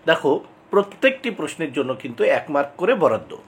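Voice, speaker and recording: A man giving an instructional exam lesson, reading out questions and answers deliberately, repeating key points and addressing students directly. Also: on the high side, big pitch swings, slight background noise. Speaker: exam tutor.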